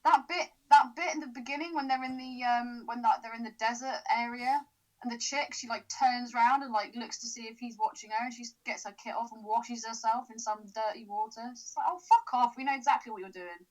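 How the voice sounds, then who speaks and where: Woman on a film podcast, fairly high voice, sarcastic and sweary, laughs a lot. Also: steady tone, fairly quick, poor audio. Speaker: podcast co-host. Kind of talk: casual chat.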